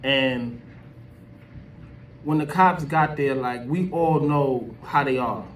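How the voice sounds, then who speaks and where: A man's voice: mid-range voice, takes it slowly, fairly bright tone, echoey room. A man giving casual opinion commentary as a video host, talking in an emphatic, slangy, conversational way. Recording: distant mic with background noise.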